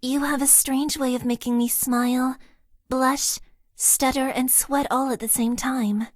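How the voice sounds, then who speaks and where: A woman voicing a formal, emotionally awkward young female mecha pilot in a dating game, earnest and deadpan with nervous stammers. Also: sits high, unhurried, no echo, studio quality. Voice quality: stiff, overly formal phrasing. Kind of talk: game voice lines. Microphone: close studio mic, dry.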